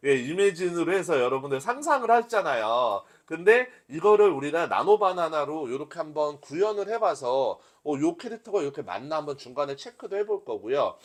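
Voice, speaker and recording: A man's voice: on the high side, big pitch swings, a little fast, hardly any pauses. A man instructing a workshop in an informal live lecture style, talking conversationally to his listeners and explaining things step by step. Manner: conversational, addresses listeners and asks rhetorical questions.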